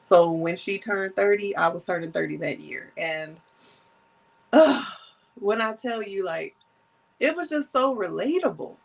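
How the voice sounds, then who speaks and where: A woman's voice: medium pitch, measured pace, vocal fry. A woman in her thirties hosting her own podcast, talking casually and animatedly and laughing often. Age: 30s. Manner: chatty, animated, laughs often, sips drinks. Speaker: podcast host. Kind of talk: casual solo podcast chat.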